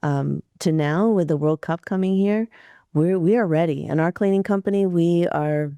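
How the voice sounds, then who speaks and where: A woman's voice: fairly low pitch, moderate pace, mellow, clean tone, no room sound. A woman in her forties from Atlanta, a business founder telling her story as a podcast guest, with fillers and detailed lists. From Atlanta, Georgia, USA. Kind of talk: casual interview talk.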